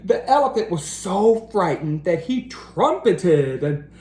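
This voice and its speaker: A woman reading a picture book aloud to children as a teacher, in a deliberate, engaging storytelling style. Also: lowish voice, very animated, darkish tone, roomy sound. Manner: deliberate, engaging, asks listeners questions. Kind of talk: animated read-aloud storytelling.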